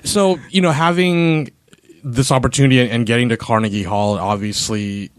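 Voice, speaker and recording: A man who grew up in the United States co-hosting a casual interview, relaxed and joking as he asks long, rambling questions. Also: mid-range voice, moderate pace, no echo. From USA. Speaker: co-host.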